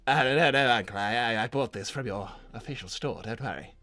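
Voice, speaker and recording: A man's voice: mid-range voice, fairly quick, pushed and bright, faint music behind, voice pushed down. A man voicing a meek, servile nephew of a cartoon villain, stammering and hesitant as he talks. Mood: flustered. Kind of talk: improvised character livestream Q&A.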